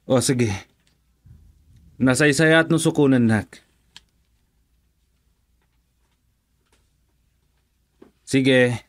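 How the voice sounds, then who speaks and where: A man's voice: mid-range voice, takes it slowly, lightly acted. Man acting easygoing young Ilocano husband. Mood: friendly, hesitant. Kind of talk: Ilocano radio drama acting.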